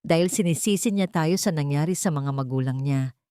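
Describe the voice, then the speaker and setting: A woman's voice: low voice, fairly quick, mellow, smooth, no room sound, studio quality, close to an everyday voice. A woman voicing a rich matriarch, condescending and sweetly spiteful, in an audio love drama. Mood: mock pity.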